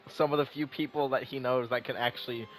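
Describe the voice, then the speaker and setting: A man's voice: medium pitch, fairly even intonation, a little fast, poor audio. A young man giving casual, informal, reactive commentary as he plays through a video game. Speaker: game streamer. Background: faint game music.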